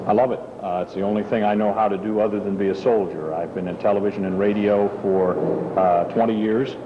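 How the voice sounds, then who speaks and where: A middle-aged man with a low voice, speaking in measured, explanatory sentences as a guest. He sounds like a seasoned anchor. The old tape is dull and carries a steady hiss. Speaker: TV news anchor, interview guest. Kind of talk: relaxed interview answers.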